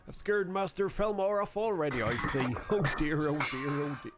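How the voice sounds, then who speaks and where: Man putting on a high-pitched cheery tavern keeper. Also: animated, normal speed, over loud music, natural voice. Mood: cheery, welcoming. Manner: repeats himself, polite and familiar with customers. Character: cheery, welcoming, chatty tavern keeper, male.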